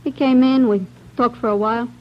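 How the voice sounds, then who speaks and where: A woman's voice: a little high, fairly even intonation, a little fast, lightly acted. An actress playing a guarded working-class young woman in a 1940s radio crime drama, with hesitant, searching phrases. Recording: dull vintage 1940s radio recording.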